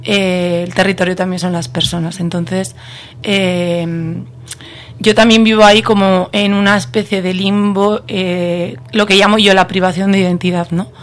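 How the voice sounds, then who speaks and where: A woman's voice: mid-range voice, little pitch movement, moderate pace. A woman from the Basque Country speaking candidly and thoughtfully on a radio roundtable, with many fillers. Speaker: roundtable participant. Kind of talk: casual radio roundtable talk.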